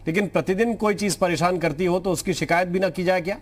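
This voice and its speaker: A man's voice: high voice, quick pace. A man hosting a live news panel debate, interjecting and challenging his guests.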